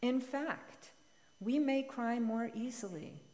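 A woman's voice: on the high side, slow pace, dark tone. Middle-aged woman preaching in a reverberant church. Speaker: homilist at a church service. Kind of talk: homily read aloud as a letter. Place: large church sanctuary, at the pulpit. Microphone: gooseneck pulpit microphone. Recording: long hall reverb of church sanctuary.

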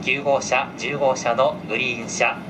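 A male train conductor speaks at a middle pitch in formal, courteous announcement style. His measured words come over the car's PA, with clear ventilation and vehicle noise around them. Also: level tone. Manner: very polite, measured, formal phrasing. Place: inside a train car. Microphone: camera picking up cabin loudspeaker.

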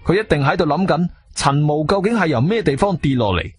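A man's voice: a little high, lively intonation, brisk, lightly acted. An actor with a Guangzhou Cantonese accent playing an inquisitive, self-assured investigator who tells his own story in a theatrical radio drama style. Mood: reflective narration. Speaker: narrator and lead actor. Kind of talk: Cantonese radio drama, first-person narration and dialogue. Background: music.

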